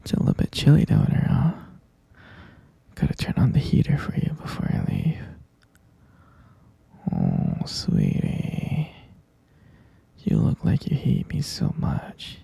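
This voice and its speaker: A man playing a possessive, lovesick kidnapper in an intimate ASMR roleplay, coaxing softly in a breathy half-whisper and repeating himself. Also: mid-range voice, wildly swooping pitch, takes it slowly, pauses often, no echo, full character voice. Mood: tender, coaxing. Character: obsessive lovesick male kidnapper, soothing and possessive yandere.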